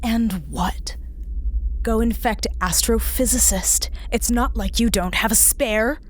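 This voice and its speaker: A woman's voice: a little high, takes it slowly, slight background noise. A woman playing a hard, sardonic space-crew captain in an audio drama, biting and contemptuous in her delivery. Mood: accusing. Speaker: voice actor.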